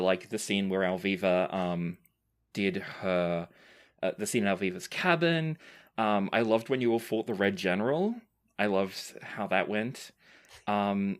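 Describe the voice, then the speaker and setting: Man, fairly low voice, podcast game master fielding listener questions, sincere and conversational. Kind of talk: casual chat, reading listener questions.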